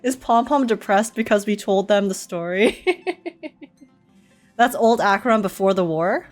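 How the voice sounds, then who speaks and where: A giggly, excitable woman giving casual reaction commentary as she plays a game. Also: medium pitch, measured pace, faint music behind.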